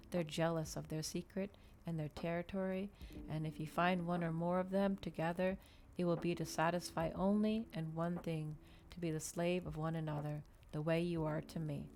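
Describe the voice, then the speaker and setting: Woman acting predatory male vampire, cold seductive mentor, commanding, low-pitched, audiobook dialogue, noisy recording. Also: a little mellow, lightly acted. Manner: lecturing, commanding. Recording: noticeable background noise.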